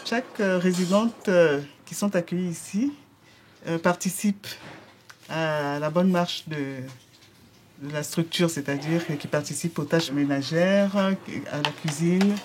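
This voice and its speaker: A woman's voice: on the low side, a little slow, a little hiss or hum. A woman on a shelter's staff, explaining how the place works in a documentary interview, with frequent fillers.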